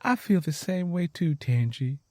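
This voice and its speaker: A wary young country wife from the acted by a man in a narrated story. She is blunt and teasing in a folksy dialect, with a raised, very mobile pitch and a dry, close sound. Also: animated, a little slow, a little mellow, no echo. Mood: doubtful, hopeful. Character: worn, wary, teasing 26-year-old Southern country wife.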